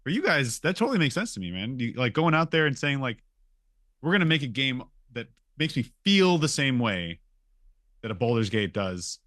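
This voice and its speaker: A man's voice: medium pitch, very animated, normal speed, fairly bright tone, no echo. Informal male podcast host, dry recording. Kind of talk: casual podcast conversation.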